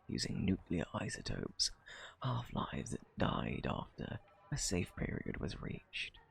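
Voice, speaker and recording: A man acts out a cunning gentleman thief in an ASMR roleplay, British and shamelessly flirtatious. His husky voice sits low, thick with gravelly fry. He swoops through his lines in a smug, taunting drawl, feigning innocence as he teases. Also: exaggerated voice. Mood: teasing, sly. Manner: teasing, drawling, mock-innocent.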